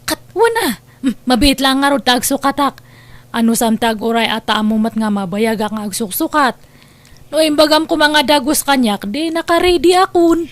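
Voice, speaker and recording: A woman's voice: sits high, expressive, moderate pace. A woman with an Ilocano accent playing an adult daughter in a family audio drama. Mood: exasperated. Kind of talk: Ilocano radio-style family drama acting.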